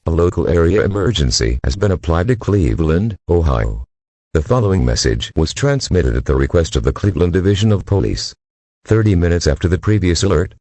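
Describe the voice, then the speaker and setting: A low, dark male announcer voice reads a scripted emergency alert. It is husky and mechanical, formal and impersonal throughout. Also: no room sound.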